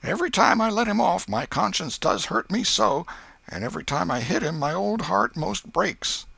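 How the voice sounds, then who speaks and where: Man voicing a high-pitched, fretful old Southern aunt. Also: expressive, moderate pace, gravelly, pushed and bright, husky, no room sound, full character voice. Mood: fretful, pitying. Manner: folksy, sighing, exclamatory. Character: elderly Southern aunt, fretful, pious, soft-hearted scold. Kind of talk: audiobook character voice.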